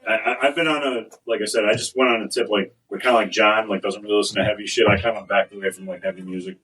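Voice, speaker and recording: Low-voiced rambling man, off-mic. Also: fairly even intonation, a bit bright, recorded from a distance, rough recording. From United States. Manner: rambling, halting, swears casually. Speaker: band member. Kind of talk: casual chat. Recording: voice picked up from across a room.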